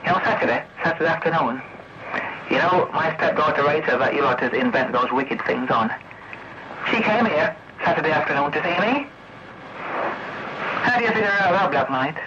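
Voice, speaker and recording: A man's voice: fairly high pitch, lively intonation, moderate pace, pauses often. Heavy radio noise, muffled lo-fi CB recording, man, confrontational rambling monologue, accusatory, repetitive, sweary, challenging tag questions. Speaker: CB radio operator.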